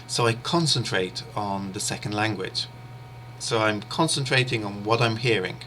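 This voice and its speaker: Low, bright-voiced man explaining a lesson. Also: a little hiss or hum. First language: English. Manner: measured, steady explaining with frequent pauses and fillers. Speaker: interpreting instructor. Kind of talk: explanatory online lecture.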